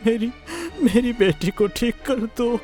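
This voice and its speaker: A man playing a humble, remorseful village father in a cartoon, speaking in a halting, pleading way. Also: very high voice, dark tone, soft background music. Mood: desperate, pleading. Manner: halting, pleading, repeats words. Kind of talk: Hindi moral-story cartoon acting.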